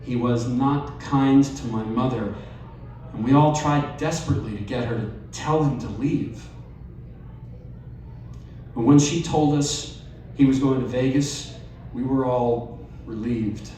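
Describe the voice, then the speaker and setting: A man reading a personal essay aloud at a live storytelling night, measured and wry. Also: mid-range voice, dark tone, distant mic, slight background noise. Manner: slow, measured delivery with wry asides. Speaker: reader at a live storytelling event. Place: bar or event venue, live audience. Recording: very echoey room, voice off-mic, faint ambience.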